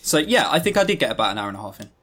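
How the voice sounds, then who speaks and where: A man's voice: medium pitch, big pitch swings, rapid-fire, fairly bright tone. A man co-hosting a podcast, giving casual critical commentary in a sardonic, exasperated manner. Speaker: podcast co-host.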